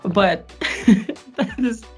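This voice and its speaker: A man's voice: high voice, very slow, faint music behind. A Trinidadian man in his late twenties, a stage actor and singer, reflecting on his experiences in a casual interview and laughing often between phrases. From Trinidad and Tobago. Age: late 20s.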